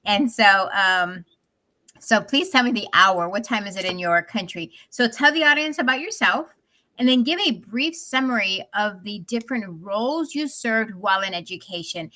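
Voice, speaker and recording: A woman's voice: mid-range voice, expressive, normal speed, sharp tone. A woman co-hosting a panel talk show in a chatty, earnest, encouraging and emphatic way.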